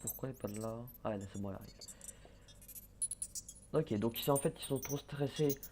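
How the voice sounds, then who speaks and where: A man's voice: medium pitch, brisk, dark tone, soft background music. A young man giving casual let's-play commentary, hesitant and full of fillers, muttering to himself as he plays. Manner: hesitant, many fillers and restarts, mutters while playing. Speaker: gaming YouTuber. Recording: faint background noise.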